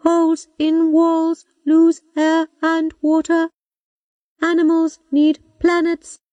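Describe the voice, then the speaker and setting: A woman voicing a slow, lonely old computer. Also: very high-pitched, completely flat delivery, warm tone, dry recording, highs cut off, faint music behind, pitched above the performer's own voice. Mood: plain. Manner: slow broken simple phrases. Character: ancient lonely zoo computer, slow and simple.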